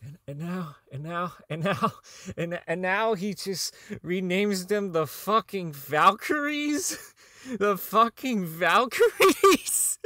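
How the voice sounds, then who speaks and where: A man's voice: high-pitched, very animated, slow, sharp tone, dry recording, clean studio sound, exaggerated voice. A man playing a socially inept, embittered robot designer, stammering and swearing as he records logs in an audio drama. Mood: hysterical fury. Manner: stammering, profane, ranting. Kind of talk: fan audio drama, log monologue.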